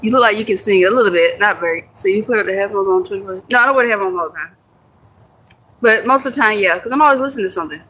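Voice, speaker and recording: Bright-voiced chatty young woman. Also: mid-range voice, very fast, slight background noise, poor audio. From United States. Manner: chatty, answers comments, laughs easily, some swearing. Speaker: livestream host. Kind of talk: casual live chat with viewers.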